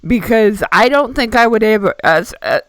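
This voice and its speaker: A woman co-hosting a radio interview, asking questions in a halting, rambling way and often repeating words. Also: a little high, expressive, fairly bright tone, dry recording. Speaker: radio co-host. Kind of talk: casual interview chat.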